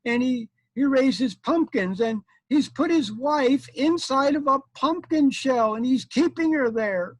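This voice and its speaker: A man's voice: extremely high pitch, lively intonation, a little slow, hardly any pauses, no room sound, voice pushed up, full character voice, low-quality recording. A man voicing a distressed, complaining storybook wife with a plaintive, feminine lilt. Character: crying village women and a fed-up trapped pumpkin wife. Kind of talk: live storytelling for an audience.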